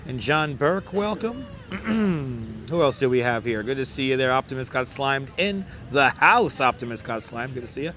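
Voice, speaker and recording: A hoarse man hosting a casual outdoor livestream, welcoming viewers by name one after another in a crackly, squeaky voice. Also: expressive, moderate pace, fairly bright tone. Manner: reels off viewer names with repeated welcomes. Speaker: livestream host. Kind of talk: casual live chat greeting viewers. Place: outdoors on a beach boardwalk, city street sounds. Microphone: wireless clip-on mic. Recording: outdoor phone livestream with street noise. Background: faint traffic and city ambience.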